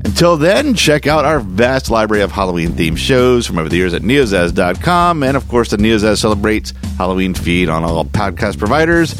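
A man hosting a podcast, delivering a casual sign-off to listeners. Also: medium pitch. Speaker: podcast host. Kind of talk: casual scripted podcast outro. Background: guitar music.

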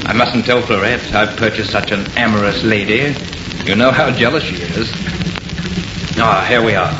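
An actor playing a confident, scoffing artist in an old-time radio horror play, with a brisk, assured, theatrical manner. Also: male voice, fairly low pitch, natural voice. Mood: amused, scoffing. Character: confident, scoffing male artist, enthusiastic and unafraid. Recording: muffled, echoey old radio recording, heavy noise.

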